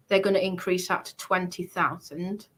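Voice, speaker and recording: Bright-voiced British woman reading out points. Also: on the low side, fairly even intonation, moderate pace, breathy edge. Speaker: presenter going through party policies. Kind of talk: casual talk, reading aloud from notes.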